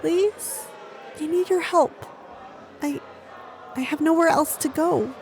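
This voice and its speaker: A woman's voice: high-pitched, slow, darkish tone, a faint crowd. A woman acting a desperate, earnest street girl of about thirteen in a fantasy audio drama. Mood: desperate, pleading. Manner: pleading, earnest.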